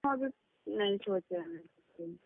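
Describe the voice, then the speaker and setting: A man's voice: high voice, very animated, takes it slowly, gravelly, no room sound. A man in a casual phone conversation, giving brief, hesitant replies as a prospective customer. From Bihar, India. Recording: narrow, tinny phone call recording.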